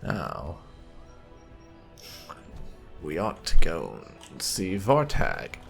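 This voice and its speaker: A man's voice: low voice, huge pitch swings, extremely slow, gravelly, over music. A man giving casual playthrough commentary as a gaming host, with dry, muttered asides. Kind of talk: casual playthrough commentary, some in-character line reading.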